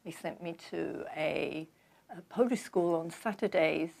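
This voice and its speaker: An older woman from London with a London accent, calmly telling her life story as a guest in an interview. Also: lowish voice, gravelly. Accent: London English. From London, England. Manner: measured, reflective storytelling. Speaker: guest author. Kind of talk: conversational interview.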